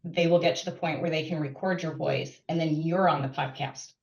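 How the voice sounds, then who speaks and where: Woman co-hosting a call, low voice, far from the mic in an echoey room, muffled. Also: steady tone, fairly quick. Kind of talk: conversational remarks on a call. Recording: distant, roomy, dull call audio.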